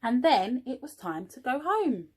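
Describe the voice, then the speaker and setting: A woman's voice: high-pitched, sing-song, measured pace, a little mellow, slightly rough. A woman, an early years teaching assistant at home, encouraging young children and asking them questions as she reads a story.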